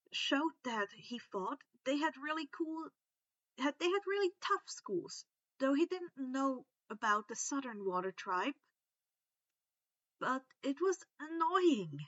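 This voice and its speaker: A woman's voice: high-pitched, fairly bright tone, no echo, dull audio. A woman narrating fan fiction expressively, like an audiobook, stretching words for dramatic emphasis. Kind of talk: expressive scripted story narration.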